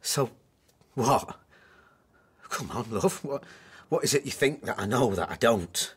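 An actor in a radio drama playing an evasive, restless husband pleading his case, with a husky voice. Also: mid-range voice, expressive, a little slow, a bit bright, full character voice. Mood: wary.

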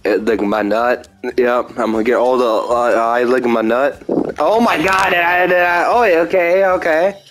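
A man's voice: mid-range voice, steady tone, measured pace, fairly bright tone. A young man giving rambling, self-mocking commentary while playing a card game, now and then breaking into silly sing-song chants. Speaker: gameplay commentator. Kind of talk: casual gameplay commentary.

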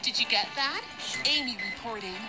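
A woman's voice: on the high side, moderate pace, music in the background, natural voice. An actress playing a sassy, pert girl in a video game. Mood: teasing.

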